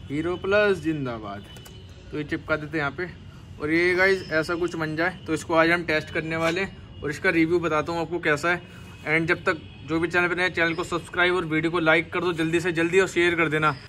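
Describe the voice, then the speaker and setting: High-voiced young man on a rooftop. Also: a little fast, a little hiss or hum. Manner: excited rooftop chatter, shouting during kite fights. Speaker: vlogger. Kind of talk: casual kite-flying vlog. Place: outdoor rooftop.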